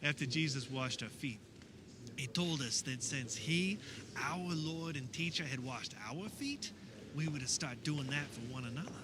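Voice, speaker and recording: Casting: male actor as an earnest fisherman disciple, high voice with wide swings, wistful radio-theater monologue, noisy recording. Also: expressive, natural voice. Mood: reflective, wondering. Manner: conversational, wistful storytelling with wondering pauses. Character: earnest fisherman disciple of Jesus, fondly recounting memories. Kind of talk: dramatic first-person monologue in religious radio theater.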